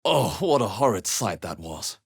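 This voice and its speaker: An actor playing a wry, wary adventurer hero in a fantasy video game, speaking in a measured, understated, dry way. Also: male voice, on the low side, very animated, creaky, breathy edge, heavily acted. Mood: wry, watchful. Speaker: video game player character voice. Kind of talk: scripted fantasy game exploration barks.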